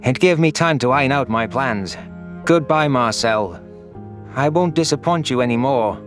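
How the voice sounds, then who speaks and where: A man's voice: mid-range voice, animated, normal speed, faint music behind, lightly acted. A man voicing an anguished, vengeful young furry alien who narrates his own audio story in an earnest, solemn, dramatic way. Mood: self-loathing.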